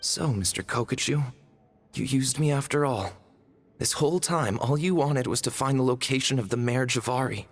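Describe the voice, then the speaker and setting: A man's voice: mid-range voice, normal speed, soft background music, lightly acted. A man playing an earnest, self-deprecating and polite young man in a video game. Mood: realizing. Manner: earnest, hesitant, humble. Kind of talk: video game cutscene voice acting.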